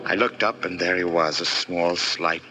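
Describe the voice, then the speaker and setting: An actor playing an obsessive, anguished concert pianist in 1940s radio horror, with a melodramatic, halting, intense delivery. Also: male voice, low voice, steady tone, close to an everyday voice. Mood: brooding narration. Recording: noisy old radio drama recording.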